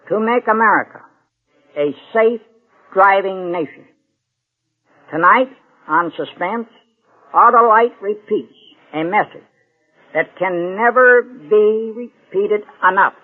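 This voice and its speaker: A man reading a formal safety message as a company executive on 1950s radio, in a stiff, deliberate way. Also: sits high. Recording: tinny, narrow vintage radio broadcast.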